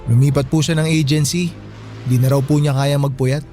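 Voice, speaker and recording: A man's voice: on the high side, fairly even intonation, normal speed, warm tone, faint music behind, natural voice. A man playing a respectful but firm middle-aged bank guard in a radio drama. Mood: polite, firm.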